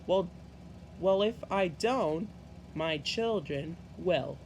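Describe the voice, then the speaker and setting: Man reading as a slow, cheerful old villager. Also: high voice, animated, a little hiss or hum, full character voice. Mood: cheerful, proud. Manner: cheerful, unhurried, proud. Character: cheerful, proud old villager gardener, nearly a hundred.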